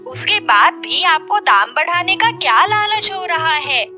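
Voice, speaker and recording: High-voiced, sensible village wife. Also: female voice, measured pace, soft background music, full character voice. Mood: concerned, reproachful. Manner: expressive, questioning, emphatic. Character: sensible, honest village wife reasoning with her husband. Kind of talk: Hindi cartoon story voice acting.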